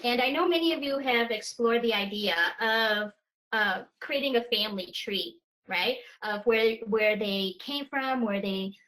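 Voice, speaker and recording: A woman's voice: fairly high pitch, a bit bright, poor audio. Woman presenting a webinar, many fillers. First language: Polish. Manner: halting, with many fillers and restarts. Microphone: video call.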